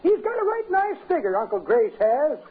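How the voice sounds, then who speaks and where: A jolly old-timer with a very high, cracked voice. He wisecracks in folksy slang in a 1950s radio comedy, heard through a tinny old radio recording. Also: very animated, moderate pace. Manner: folksy, slangy drawling jokes.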